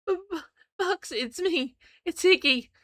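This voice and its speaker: A woman's voice: lively intonation, normal speed, pushed and bright. A woman doing a trembling, stammering frightened friend. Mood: pleading. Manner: stammering, trembling, pitched high. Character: frightened adult friend, desperate and pleading.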